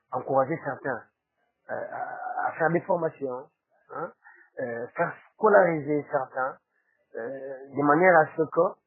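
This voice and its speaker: A man from DR Congo with a Congolese accent and a husky voice, answering formally as a spokesman, hesitantly and with frequent tag questions. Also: medium pitch. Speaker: interview guest, foundation spokesman. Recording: roomy, tinny phone-like sound.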